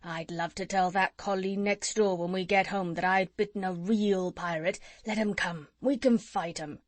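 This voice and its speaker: A woman's voice: mid-range voice, fairly even intonation, normal speed, dry recording, natural voice. A plucky dog eggs his friends on to a fight, eager and scornful, as a woman reading a storybook puts him on. The sound is dry and close. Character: brave, scrappy male dog, eager for a fight.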